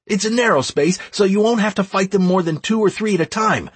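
A commanding young battle leader , voiced by a male narrator, pitched up and urgent, barks orders with a rough edge to the voice. The delivery swings forcefully, in a fantasy audiobook style. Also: huge pitch swings, brisk, full character voice.

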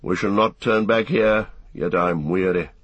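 Male narrator as grave ranger, lowish husky voice, watchful, brooding, resolute, fantasy audiobook. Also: a bit bright, dry recording, dull audio. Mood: weary resolve. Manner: brooding, measured, archaic phrasing.

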